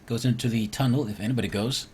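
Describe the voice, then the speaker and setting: A man from Iceland doing casual live gameplay commentary, reading game text aloud and thinking out loud as he mutters to himself. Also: medium pitch, fast talker, slight background noise. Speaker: video game streamer.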